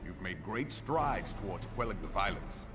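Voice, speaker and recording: A man voicing a stern, authoritative military commander in a fantasy video game, with a formal, grave, deliberate delivery. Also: deep voice, animated, music in the background. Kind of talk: scripted video game cutscene acting.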